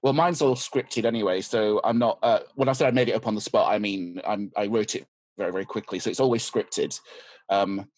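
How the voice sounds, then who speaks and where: A man's voice: fairly low pitch, a little fast, darkish tone, dry recording. A man, a teacher, chatting as a podcast guest in a wry, self-deprecating way. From United Kingdom. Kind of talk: casual interview chat.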